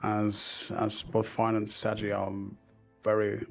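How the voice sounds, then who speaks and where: A man's voice: fairly low pitch, completely flat delivery, takes it slowly, creaky, fairly bright tone, soft background music. A young man with a Norwegian accent co-casting an esports match, hesitant, with dry humor. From Norway. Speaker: esports co-caster. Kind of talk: casual esports commentary.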